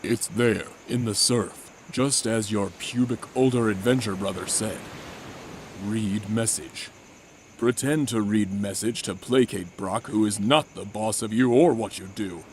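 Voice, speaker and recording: A man giving a deadpan, mock-solemn dramatic reading of a comic adventure story. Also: fairly low pitch, measured pace, a little hiss or hum. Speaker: narrator.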